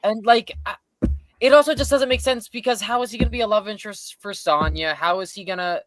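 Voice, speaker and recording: A man's voice: high voice, fairly quick, fairly bright tone, no room sound, faint music behind. A man co-hosting a casual podcast, giving blunt opinions with casual swearing. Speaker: co-host of a movie podcast.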